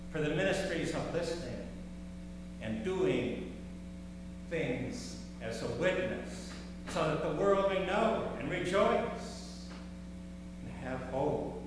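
An older man preaching a sermon from a pulpit, slow and measured, with rising emphasis on key words. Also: mid-range voice, distant mic. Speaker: pastor. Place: large church sanctuary, at a pulpit. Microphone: far camera in the sanctuary. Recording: echoey church hall from afar, background hum.

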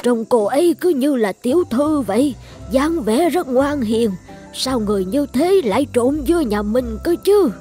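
A woman voicing a kindly old village wife in an animated folk tale. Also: sits high, measured pace, soft background music. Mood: curious.

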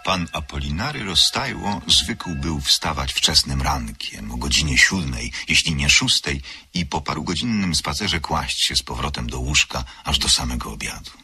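A man narrating a radio drama in a measured, literary way. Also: very deep voice, animated, pushed and bright, faint music behind.

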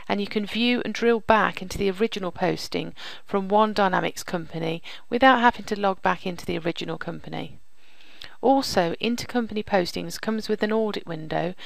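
Very creaky-voiced woman presenting software. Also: medium pitch, no echo, low-quality recording. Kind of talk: scripted software demo narration.

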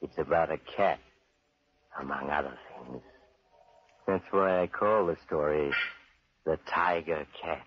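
A man's voice: extremely low pitch, unhurried, pauses often. An American man acting a sinister, suavely polite host in theatrical 1940s radio mystery narration. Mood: eerily genial. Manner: theatrical, mock-solicitous, ominous. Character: sinister, suavely polite male storyteller of eerie tales. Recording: noisy, dull 1940s radio recording.

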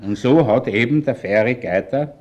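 An actor playing a shrewd, unhurried Viennese police inspector in a radio crime play, with an Austrian accent. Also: male voice, medium pitch, darkish tone, lightly acted. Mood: explaining. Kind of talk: naturalistic radio crime drama acting.

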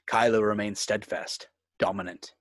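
A man's voice: lowish voice, fairly even intonation, normal speed, fairly bright tone, no echo, studio quality. A man reading scripted screenplay narration and stage directions for a table read.

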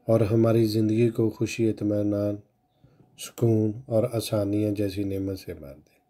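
A man slowly reciting a long devotional supplication in a solemn, measured way. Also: fairly low pitch, warm tone. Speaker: prayer reciter.

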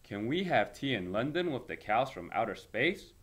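A man voicing excited, polite young children in a storybook, eager and exclamatory. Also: medium pitch, normal speed.

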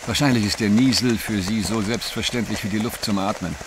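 A man as a low-voiced grumbling French reporter. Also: fairly even intonation, a little fast, lightly acted. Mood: grumbling, teasing. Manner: grumbling, teasing. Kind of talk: German radio play acting. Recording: noisy rain backdrop.

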